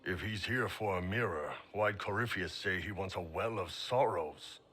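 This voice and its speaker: A man's voice: deep voice, normal speed, a bit bright, faint music behind. A man playing a plain-spoken, questioning warrior companion in a fantasy video game. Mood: skeptical.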